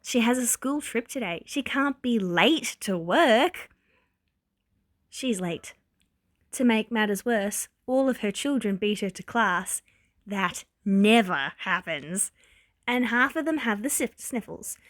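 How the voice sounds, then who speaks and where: A woman narrates a story in a fairly high voice, leaning into wry, exasperated emphasis. The sound is close and dry, with very little room around her. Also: lively intonation, slow, dry recording. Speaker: podfic narrator reading fan fiction.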